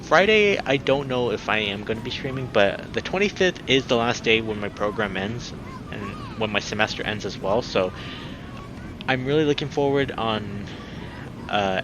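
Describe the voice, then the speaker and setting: A man's voice: medium pitch, lively intonation, moderate pace. Young man streaming, with noisy background. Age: young adult. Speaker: game streamer. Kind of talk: casual let's play, reading a visual novel aloud.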